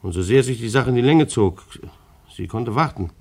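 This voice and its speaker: An actor in a radio play playing a plain-spoken, law-abiding bailiff with a hesitant, conversational manner. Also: male voice, mid-range voice, lively intonation, normal speed, darkish tone. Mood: defensive.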